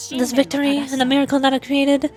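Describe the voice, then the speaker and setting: A woman voicing a noble, solemn warrior queen, reading game dialogue in an earnest, declamatory and measured way. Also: high voice, completely flat delivery, a little mellow, close to an everyday voice. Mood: proud, grateful. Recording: game music faintly behind.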